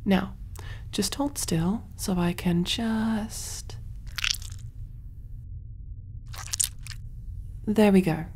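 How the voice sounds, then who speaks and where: A woman voicing a kind, clinical alien surgeon in a gentle, reassuring ASMR roleplay, soft-spoken and often whispering. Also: medium pitch, slow, noisy background, lightly acted. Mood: calm, focused.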